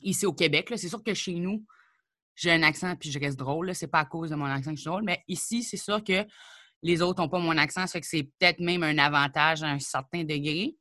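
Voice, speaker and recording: A young Acadian woman talks in a relaxed, chatty way, jumping into quick asides. Her voice is bright and forward. It sounds dry and close, with almost no room echo. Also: deep voice. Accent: Acadian French. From New Brunswick, Canada. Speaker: comedian and rapper, guest. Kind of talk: casual interview chat.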